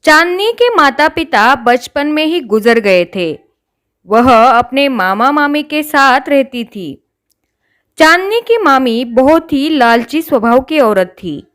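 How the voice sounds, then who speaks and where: Slow, high-pitched woman storyteller. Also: sing-song, fairly bright tone. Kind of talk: scripted children's story narration.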